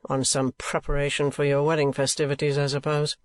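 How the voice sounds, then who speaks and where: A man's voice: a little high, completely flat delivery, a little fast, no echo, natural voice. A man voicing a stern, cold male usurer with a harsh, commanding manner.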